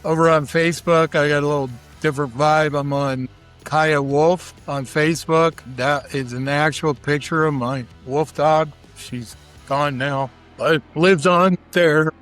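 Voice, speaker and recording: A man's voice: a little high, fairly even intonation, a little slow, a bit bright, soft background music. A man chatting casually as an interview guest, with a folksy, colloquial, enthusiastic manner. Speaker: interview guest, barbecue cook.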